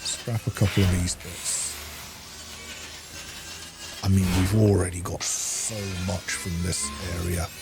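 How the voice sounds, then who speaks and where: Low-voiced man narrating his gameplay over music. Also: little pitch movement, slow, long pauses. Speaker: gaming video host. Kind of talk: casual game playthrough commentary.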